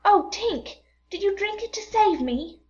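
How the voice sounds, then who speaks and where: A woman voicing a brash, eager young boy hero in a storybook reading, bold and quick to exclaim. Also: very high voice, animated, dull audio, voice pushed up. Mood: alarmed.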